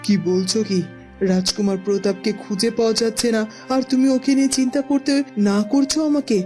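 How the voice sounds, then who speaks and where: A woman's voice: on the high side, brisk, warm tone, lightly acted. A woman voicing a commanding, worried queen mother in a fairy-tale cartoon. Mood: indignant, worried. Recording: music behind the voice.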